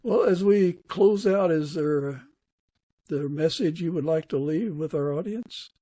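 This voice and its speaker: A man's voice: fairly high pitch, a little slow, mellow, no echo. A man speaking as the host of an interview podcast. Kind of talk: casual interview hosting.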